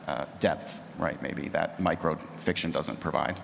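Low-voiced man on a panel, echoey hall. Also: steady tone, a little slow, gravelly. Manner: reflective, self-questioning. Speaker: podcast creator, panelist. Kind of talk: unscripted panel discussion. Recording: echoey hall, background noise.

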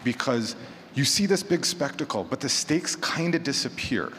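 A man's voice: mid-range voice, expressive, normal speed, a bit bright. A man speaking informally on a conference panel about his craft, conversational, posing rhetorical questions and voicing imagined quotes. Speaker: panelist, fiction podcaster. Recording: reverberant hall, clear background noise.

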